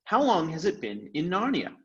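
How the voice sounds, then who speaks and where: Man playing a lively, frank schoolboy. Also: on the high side, normal speed, lo-fi sound, voice pushed up. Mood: frank, eager. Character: schoolboy, brisk, frank, hearty.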